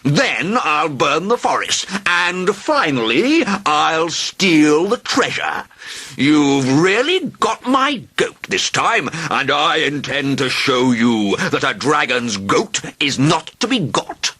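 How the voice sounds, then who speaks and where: A man's voice: medium pitch, very animated, a little slow, sharp tone, breathy edge, exaggerated voice. A man voicing a vain, rude and cowardly huge male dragon in a storybook, blustering and melodramatic. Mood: testy. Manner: blustering and melodramatic, wailing when wounded. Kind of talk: storybook reading.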